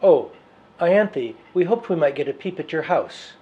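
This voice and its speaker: A man playing a fussy, forward middle-class gentleman in a dramatized novel reading, chatty and genteel. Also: on the high side, lively intonation, measured pace, dull audio. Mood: breezy, forward.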